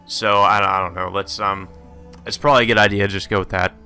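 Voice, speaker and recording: A man's voice: lowish voice, fairly even intonation, fast talker, bright, forceful tone, smooth, soft background music. A man doing a let's play, reading visual novel text aloud and commenting casually. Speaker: let's player.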